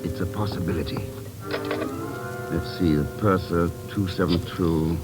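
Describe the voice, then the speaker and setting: An actor playing a cool, shrewd, dryly witty investigator in an old radio drama, measured and deliberate. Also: male voice, low voice, steady tone, music in the background. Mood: pondering. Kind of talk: 1940s-50s American radio drama acting.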